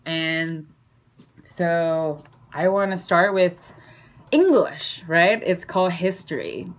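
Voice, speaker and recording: Slow, halting woman, Chinese native. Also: fairly low pitch. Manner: slow, halting, playful, with fillers and self-corrections. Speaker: video creator. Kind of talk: casual explainer lesson.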